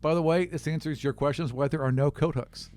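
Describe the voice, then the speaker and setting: A man's voice: a little high, fairly even intonation, fairly quick, a little mellow. A man working as game master, narrating and chatting casually during a tabletop roleplaying session. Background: faint music.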